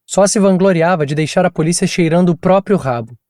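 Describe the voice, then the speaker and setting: A man's voice: sits high, brisk, a little mellow, no echo, pitched above the performer's own voice, lightly acted. A frightened, worn-out woman tells what happened to her in a strained, raised voice, as a male narrator puts her on. She sounds tense and pleading, close and dry, as in a recorded storybook. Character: exhausted traumatized woman, witness recounting abduction.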